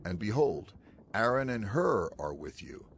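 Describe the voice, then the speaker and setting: An actor playing a solemn male scriptural figure giving firm instructions in a measured, declamatory dramatized reading. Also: on the low side, sing-song, slight background noise. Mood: solemn, instructive.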